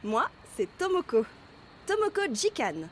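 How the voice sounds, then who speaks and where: A woman's voice: high-pitched, huge pitch swings, unhurried, a little hiss or hum, exaggerated voice. A woman playing a friendly teenage girl. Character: cheerful mysterious teenage girl, class delegate. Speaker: lead character. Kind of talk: fan audio drama acting. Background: music.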